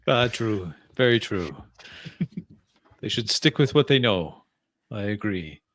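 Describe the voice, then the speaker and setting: A man voicing a courteous Parisian gentleman traveler with a French accent, gracious and quick to laugh, in a tabletop roleplay. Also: on the low side, sing-song, no echo, heavily acted. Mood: amused. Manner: polite, gracious, laughs easily. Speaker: player. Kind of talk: in-character roleplay.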